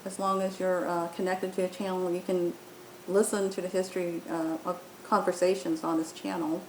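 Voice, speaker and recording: A woman's voice: level tone, normal speed. Woman giving a tutorial in an echoey room. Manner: explanatory, step by step. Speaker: app tutorial presenter. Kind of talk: informal software walkthrough narration. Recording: roomy echo, faint rumbling background noise.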